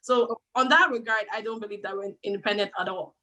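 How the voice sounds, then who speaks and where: A woman's voice: medium pitch, fast, sharp tone. A woman from Nigeria speaking as a panel guest, emphatic and repeating words for stress. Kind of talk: discussion.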